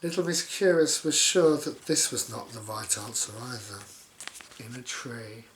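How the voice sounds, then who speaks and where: A man's voice: medium pitch, expressive, measured pace, echoey room, noisy background. A man reading a children's story aloud, dropping in sarcastic, mocking asides as he goes. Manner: sarcastic, mock-commentating on the story. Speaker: storybook reader.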